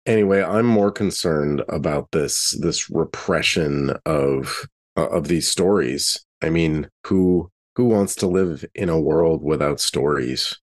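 Really deep guy playing a tabletop rpg, kinda halting, repeats himself while thinking out loud. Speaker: player in a tabletop role-playing game. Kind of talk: casual in-character game talk.

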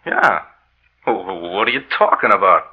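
A man's voice: sits low, animated, unhurried, breathy edge, muffled recording, full character voice. An actor playing a skeptical, uneasy, tough-talking man in 1940s radio drama, blunt and exasperated. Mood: nervous disbelief. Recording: slightly noisy old radio recording.

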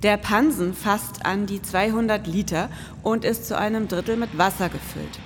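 A woman's voice: medium pitch, normal speed, noisy background. A woman in her forties co-hosting a scripted, performative podcast with an explanatory delivery. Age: 40s. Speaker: podcast co-host. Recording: long reverb, music underneath.

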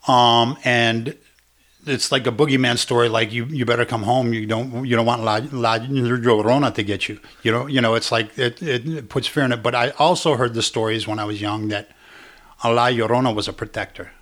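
A man's voice: mid-range voice, steady tone, normal speed, a bit bright. A man co-hosting a casual podcast, explaining things loosely with lots of fillers and restarts. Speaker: podcast co-host.

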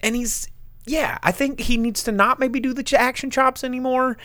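A man hosting a casual chat show, rambling and animated, with sudden loud bursts in a big dad voice. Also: extremely high pitch, moderate pace, dry recording, clean studio sound. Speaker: podcast host and bar owner.

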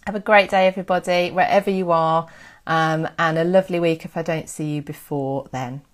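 Lady chatting on her history livestream, bit low-pitched, excitable, thanks everyone. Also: a little fast. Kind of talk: casual live-stream chat.

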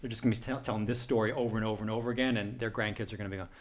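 A man's voice: fairly low pitch, steady tone, racing. A man with a Canadian accent co-hosting a podcast, giving casual opinions in a rambling way that circles back and repeats phrases. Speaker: podcast co-host. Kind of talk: casual conversational review.

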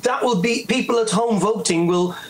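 A man's voice: high voice, moderate pace. A middle-aged Welsh man chatting as an interview guest, telling anecdotes and acting out what others said. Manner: chatty storyteller, acts out quoted voices. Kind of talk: casual anecdotal chat.

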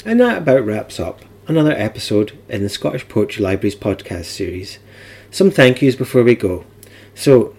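A man's voice: lowish voice, darkish tone, breathy edge. A man hosting a podcast, talking informally in a wry, playful and chatty way. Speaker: podcast host.

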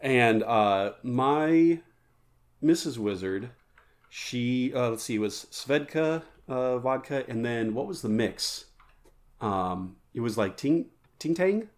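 A man's voice: medium pitch, expressive, slow pace. A man co-hosting a podcast, telling jokey, rambling stories in casual banter. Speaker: podcast co-host.

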